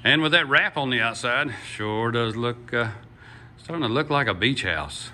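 A man's voice: mid-range voice, expressive, moderate pace, bright, forceful tone. Folksy older man touring a build. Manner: folksy, chatty, with many "uh" fillers and "yeehaw". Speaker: vlogger touring his house build. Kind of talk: casual walkthrough narration. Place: unfinished wooden house frame, indoors and on outdoor steps. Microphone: phone camera held by the speaker.

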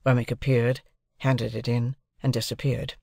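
A man's voice: medium pitch, little pitch movement, moderate pace, creaky, darkish tone, no room sound. A man reading scripted literary narration as an audiobook narrator.

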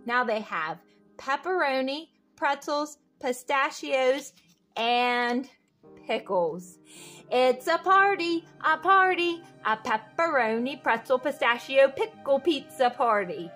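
A woman's voice: high voice, animated, takes it slowly, a bit bright, soft background music. A woman reading a children's picture book aloud expressively, with sing-song refrains and little questions to young listeners. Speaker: read-aloud host.

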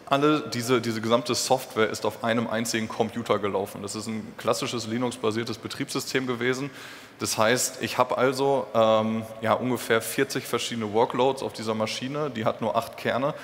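A man's voice: lowish voice, a bit bright. Young man presenting in an echoey hall. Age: young adult. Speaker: conference speaker presenting technical work. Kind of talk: technical conference presentation. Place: stage in a concrete-walled event hall. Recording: echoey hall with clear background noise.

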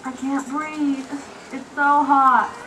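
A woman's voice: sits high, flat delivery, slow, sharp tone, exaggerated voice. A woman voicing a little boy who clings to his toy bunny, childlike and plaintive, in a children's puppet show. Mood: sick, plaintive. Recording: distant, noisy puppet theater recording.

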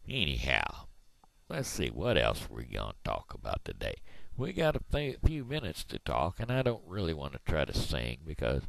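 Brief: rambling folksy blogger, very deep gravelly voice, lively up-and-down delivery, headset mic, dry small room. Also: male voice, normal speed, no room sound. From Missouri, USA. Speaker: video blogger. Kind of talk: casual rambling monologue. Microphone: headset microphone.

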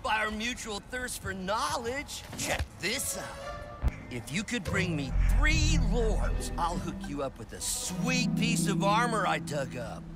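A cheerful, laid-back alien monk drawls in surfer slang. The delivery is slow and hugely sing-song, as in a video game, over loud music. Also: male voice, sits high, big pitch swings, exaggerated voice. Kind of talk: video game cutscene acting.

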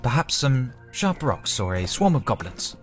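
A man playing a composed, noble knight commander in a fantasy audio drama, formal with gentle teasing. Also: medium pitch, very animated, normal speed, soft background music, close to an everyday voice. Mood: wry, teasing.

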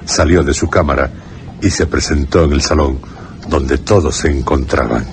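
A man reading scripted horror narration for a radio drama in a solemn, measured way. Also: extremely low pitch, a little hiss or hum. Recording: radio drama with music behind.

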